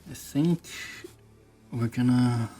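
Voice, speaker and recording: A man giving casual, hands-on tutorial commentary as a video host, musing aloud in halting phrases. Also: medium pitch, mellow, soft background music. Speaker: toy customizing video host.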